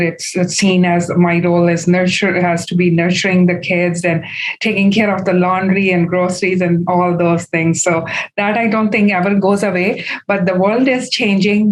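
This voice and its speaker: A woman's voice: fairly low pitch, slight background noise, rough recording. Thoughtful middle-aged woman from Pakistan. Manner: thoughtful, many "uh" and "um" pauses. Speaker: community leader, guest. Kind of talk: interview answers, reflective.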